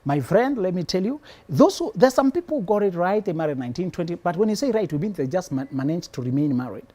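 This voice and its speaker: A male psychologist from Kenya gives relationship advice on a live show. His pitch swings widely as he talks. He sounds preachy and playful, often breaking into laughter. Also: sing-song, fast talker, warm tone. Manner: preachy, laughs often, teasing punchlines, repeats words. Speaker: psychologist guest.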